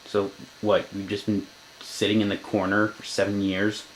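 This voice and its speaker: A man's voice: fairly low pitch, completely flat delivery, moderate pace, a little hiss or hum, low-quality recording. A man doing casual, joking gameplay commentary, grumbling sarcastically and reading on-screen text aloud. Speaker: let's play commentator. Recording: game music behind the voice. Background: video game music.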